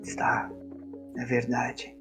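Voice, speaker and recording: A man's voice: medium pitch, completely flat delivery, takes it slowly, natural voice. A man voicing a small, naive, sweet adventurer who speaks innocently and earnestly in a fantasy roleplay. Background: background music.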